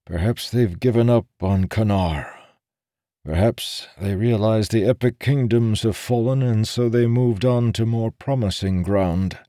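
A man's voice: fairly low pitch, unhurried, warm tone, no room sound, clean studio sound, pitched above the performer's own voice, close to an everyday voice. A man voicing a weary, earnest soldier in an audiobook story, with a grave and formal manner. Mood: worried, earnest. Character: weary, earnest soldier, frustrated and doubted as madman.

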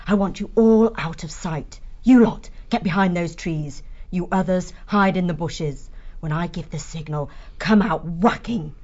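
A woman voicing a bossy, hot-tempered fox captain in an audiobook story, commanding and sharp-tongued. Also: lowish voice, measured pace, muffled recording. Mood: urgent, commanding.

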